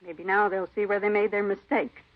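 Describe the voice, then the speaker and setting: A woman's voice: medium pitch, flat delivery, brisk, natural voice. An actress playing an aggrieved, defiant cleaning woman with plaintive, insistent, clipped folksy phrasing in a 1950s radio drama. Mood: indignant, defiant. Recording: muffled vintage radio recording.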